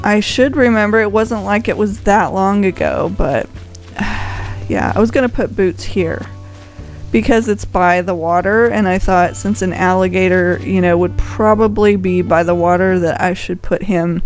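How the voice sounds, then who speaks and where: A woman's voice: mid-range voice, a little slow, faint music behind. A woman giving casual, unscripted gameplay commentary as a video host, rambling conversationally and thinking aloud. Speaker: video host showing a game island.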